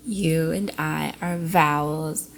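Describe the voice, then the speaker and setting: Playful young cosplayer girl, soft and crackly, woman acting. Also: lowish voice, exaggerated voice. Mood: teasing. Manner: giggly, coy, often dropping into soft breathy murmurs. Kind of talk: ASMR roleplay, intimate one-sided dialogue to the listener.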